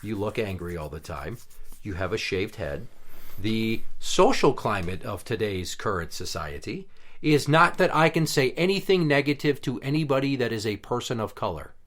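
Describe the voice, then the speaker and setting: A man's voice: lowish voice, lively intonation, normal speed, fairly bright tone. A man hosting a podcast in casual chat, talking bluntly and heatedly with plenty of swearing. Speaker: podcast host.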